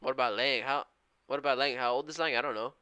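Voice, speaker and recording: A man's voice: medium pitch, steady tone, fast talker, pushed and bright, clean tone, no echo. A man in his twenties chatting casually on a live trading stream, reading out viewer comments and thanking people in an upbeat, slangy way. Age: 20s. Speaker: live-stream day trader.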